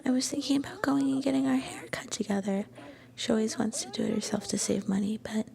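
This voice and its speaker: A woman playing a shy, sweet young student girlfriend in an ASMR roleplay, speaking softly and breathily with gentle hesitation, often slipping into a whisper. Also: fairly high pitch, fast, gravelly. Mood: gentle, musing.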